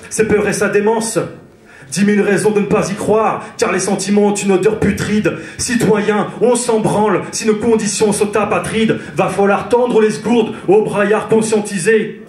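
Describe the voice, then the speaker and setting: A man's voice: high-pitched, steady tone, moderate pace, darkish tone. A man from France performing slam poetry on a theatre stage, with a rhythmic, intense spoken-word delivery. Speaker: slam poet. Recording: roomy theatre echo, live.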